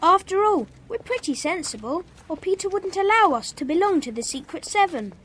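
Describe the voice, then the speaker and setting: A woman's voice: extremely high pitch, expressive, fairly quick, a bit bright. A woman playing a sensible, cautious young schoolboy in a children's audio drama. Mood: reasoning.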